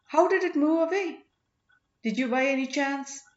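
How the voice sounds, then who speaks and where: Earnest banished young king voiced high by a woman. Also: moderate pace, close to an everyday voice. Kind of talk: audiobook character dialogue. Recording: roomy, slightly dull home recording.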